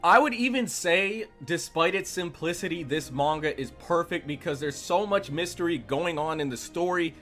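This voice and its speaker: A man's voice: a little high, moderate pace, hardly any pauses, a bit bright. A man delivering review commentary as a video reviewer. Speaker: manga reviewer. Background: background music.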